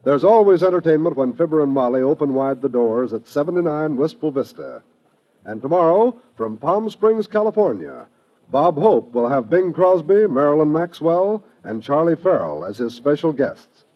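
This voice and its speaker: A man's voice: on the high side, expressive, normal speed. A man with an American accent making scripted announcements in 1950s network radio style. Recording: muffled old radio recording.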